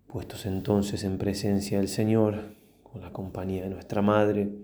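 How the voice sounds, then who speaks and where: Deep, mellow priest guiding prayer. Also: male voice, level tone, slight background noise. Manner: slow, reverent, with long pauses. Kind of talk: guided religious meditation and prayer.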